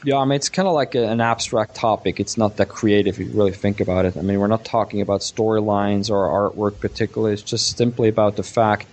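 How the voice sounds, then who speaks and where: Low-voiced man, native Swiss German speaker. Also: fairly even intonation, quick pace, hardly any pauses, darkish tone. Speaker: webcomic creator, panelist. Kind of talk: casual panel discussion.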